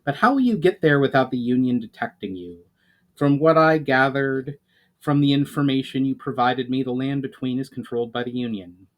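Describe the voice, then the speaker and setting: A man's voice: mid-range voice, normal speed, natural voice. A man reading the part of a grave, resolute elf diplomat in a fantasy story, formal and serious. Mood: questioning.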